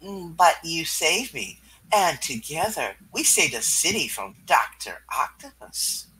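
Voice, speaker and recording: A man's voice: on the high side, big pitch swings, measured pace, pushed and bright, breathy edge, exaggerated voice, poor audio. A man voicing a seductive, thrill-seeking female cat burglar in a comic book reading, with a purring, teasing, flirty delivery. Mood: flirty, insistent.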